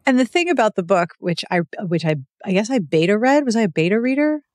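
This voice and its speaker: A woman's voice: mid-range voice, sing-song, quick pace, no echo, studio quality. A woman hosting a podcast interview in a casual, conversational style.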